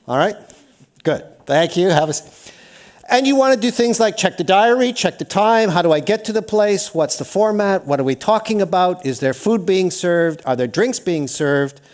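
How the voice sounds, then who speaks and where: Lively Canadian man teaching a room. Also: fairly high pitch. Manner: energetic, engages the audience with questions. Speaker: workshop speaker. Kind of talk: lively interactive seminar talk. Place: lecture hall.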